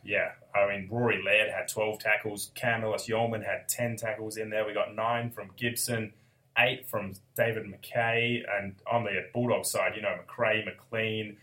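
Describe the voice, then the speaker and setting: Young aussie bloke hosting a footy podcast, bit gravelly from a cold, chatty, laughs a lot. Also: male voice, on the low side, little pitch movement, unhurried, sharp tone. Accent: Australian. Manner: chatty, laughs often, restarts mid-sentence. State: recovering from a cold, slightly gravelly. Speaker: podcast host. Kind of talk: casual sports chat.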